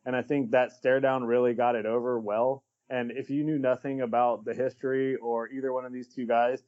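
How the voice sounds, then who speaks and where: A man co-hosting a podcast, giving his take in a relaxed, blunt way with casual swearing. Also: mid-range voice, fairly quick, dull audio. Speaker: podcast co-host.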